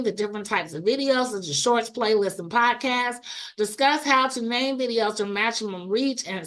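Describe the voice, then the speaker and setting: Hoarse woman coaching through a live lesson. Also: a little high, a bit bright. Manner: reads example prompts aloud with casual asides and tag questions. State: hoarse after a cold. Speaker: online marketing coach and show host. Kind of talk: weekly instructional livestream.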